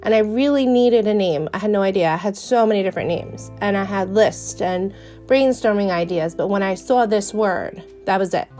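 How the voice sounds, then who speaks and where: A woman's voice: medium pitch, moderate pace, warm tone, soft background music. Earnest New York woman hosting a podcast. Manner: earnest and chatty, with fillers and restarts. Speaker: podcast host. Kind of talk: casual faith podcast monologue.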